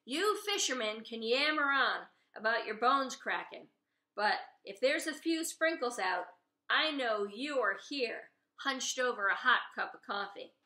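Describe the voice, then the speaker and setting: Loud, brash diner cook woman acted by a reader. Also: fairly high pitch, lively intonation, unhurried. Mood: scolding, boastful. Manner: bellowing, argumentative, teasing. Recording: roomy, echoey room sound.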